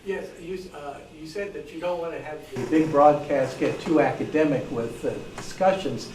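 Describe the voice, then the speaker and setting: A man's voice: fairly high pitch, moderate pace, darkish tone. A man putting a question from the floor at a meeting. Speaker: audience questioner. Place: meeting room. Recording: distant, echoey, faintly noisy room.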